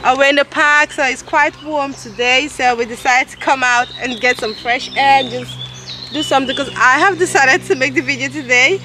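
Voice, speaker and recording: A woman in her thirties from Nigeria with a Nigerian English accent, talking casually to camera in a halting way and often correcting herself. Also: high voice, fairly even intonation. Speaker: vlogger. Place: outdoors in a park. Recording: noisy outdoor camera recording.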